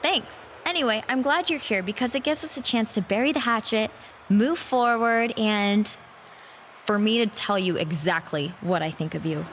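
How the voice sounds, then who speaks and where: A woman's voice: fairly high pitch, normal speed, a bit bright, a little hiss or hum, natural voice. A woman playing an indignant pro wrestler who hosts an interview segment, speaking with accusatory emphasis in a scripted promo. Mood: feigned politeness. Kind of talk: scripted sports-entertainment promo.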